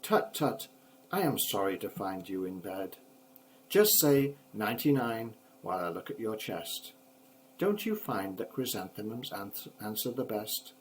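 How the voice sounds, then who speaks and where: A man's voice: lowish voice, sing-song, a little slow, slight background noise, poor audio. An older man voicing a fussy, self-satisfied doctor in a children's storybook poem, with pompous pronouncements. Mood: fussy concern.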